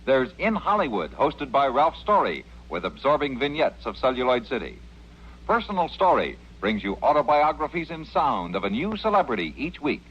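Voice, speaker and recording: Lively American radio announcer, slightly husky man. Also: medium pitch, normal speed. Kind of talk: polished 1950s-60s radio announcing. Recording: muffled old radio broadcast.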